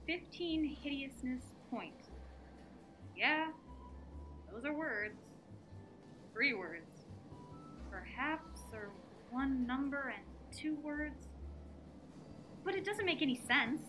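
Actress as exasperated, very high-voiced young woman. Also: expressive, slow pace, long pauses, music in the background. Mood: puzzled. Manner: snappy, dry sarcastic retorts. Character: Alma, exasperated anxious sharp-tongued young working woman. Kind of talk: theatrical old-time radio drama acting.

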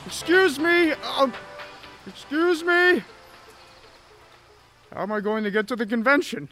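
High-voiced, genteel older motorist. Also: male voice, animated, measured pace, pushed and bright, soft background music, heavily acted. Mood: indignant, helpless. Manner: folksy, courteous, old-fashioned exclamations. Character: chatty, genteel older male motorist, easily flustered. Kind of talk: audio drama acting.

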